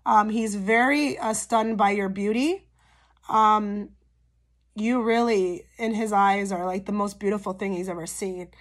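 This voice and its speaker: A woman giving a casual pick-a-card tarot reading straight to her viewers, her voice a little hoarse and cracky from a cold, often checking in with "okay?". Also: medium pitch, fairly even intonation, unhurried. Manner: chatty, frequent "okay?" check-ins with viewers. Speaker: tarot reader.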